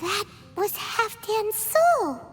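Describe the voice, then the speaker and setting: A woman voicing a tiny, excitable, childlike fairy companion in a video game, with a squeaky, chatty delivery. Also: extremely slow, noisy background, full character voice. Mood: concerned. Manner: speaks of herself in third person, bubbly. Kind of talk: animated video game voice acting. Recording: long reverb, music behind.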